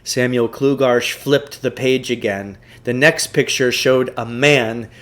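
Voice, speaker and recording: A man's voice: medium pitch, steady tone, takes it slowly, fairly bright tone. A man reading the narration of a children's novel aloud. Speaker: reader, teacher.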